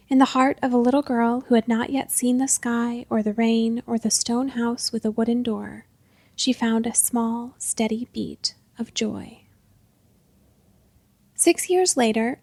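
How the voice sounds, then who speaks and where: High-voiced woman narrating a literary story, dry sound. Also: darkish tone, dry recording.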